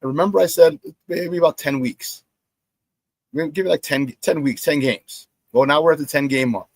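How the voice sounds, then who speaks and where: A hoarse middle-aged man hosting a sports talk show, telling animated stories, repeating his phrases and laughing at his own stories. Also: a little high, brisk, no room sound. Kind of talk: casual sports talk monologue.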